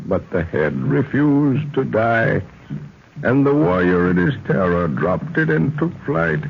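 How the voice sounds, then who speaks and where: An actor playing a dying, wise old African village elder, speaking solemnly and archaically in an old-time radio drama. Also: male voice, fairly high pitch, creaky, exaggerated voice. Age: older. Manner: solemn, slow, archaic storytelling. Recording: dull, noisy vintage radio recording.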